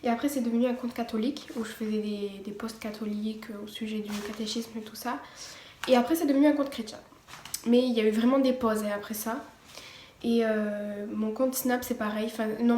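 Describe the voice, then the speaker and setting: A woman's voice: fairly high pitch, fairly even intonation, fairly quick, warm tone. A teenage girl giving a casual personal testimony, partly read from notes, hesitant with many fillers and restarts. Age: teens. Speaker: vlogger. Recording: echoey room, faint background noise.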